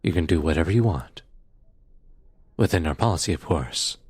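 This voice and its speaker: Guy playing a firm detective, real deep raspy voice, dry close sound, formal and measured, audio drama. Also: expressive, a little mellow, no echo. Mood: measured, persuasive. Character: firm, measured male police detective, matter-of-fact, unyielding. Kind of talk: audio roleplay drama acting.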